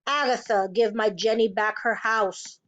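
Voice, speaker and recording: A woman voicing a menacing ghostly man, speaking in a slow, commanding storybook manner. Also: fairly high pitch, steady tone, a bit bright, muffled recording. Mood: ominous menace. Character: ghostly dead man writing in chalk, menacing.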